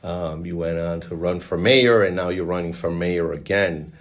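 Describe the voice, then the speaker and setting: A man's voice: low-pitched, fairly even intonation, moderate pace. A man hosting a podcast interview, asking conversational questions that he repeats and rephrases. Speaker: podcast host.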